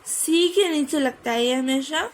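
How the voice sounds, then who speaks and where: A young Indian woman teaching a French lesson, mixing Hindi and English and slowly spelling letters out to drill pronunciation. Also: high-pitched, slight background noise.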